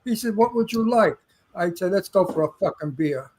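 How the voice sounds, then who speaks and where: A man's voice: high voice, lively intonation, moderate pace, a little mellow, slight background noise. A man with a Brooklyn accent, co-hosting a radio interview show and telling brash, salty stories in casual chat. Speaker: co-host.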